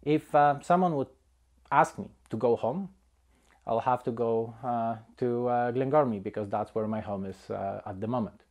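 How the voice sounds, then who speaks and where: A man in his thirties from Poland with a Polish accent, telling his own story slowly, with many "uh" fillers between phrases. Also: medium pitch, a little mellow. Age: 30s. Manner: hesitant, frequent "uh" fillers. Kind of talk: personal testimony.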